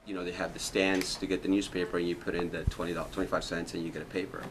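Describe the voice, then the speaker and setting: A man from Ecuador telling stories in a relaxed documentary interview, often saying "you know". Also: low-pitched, racing, noisy background.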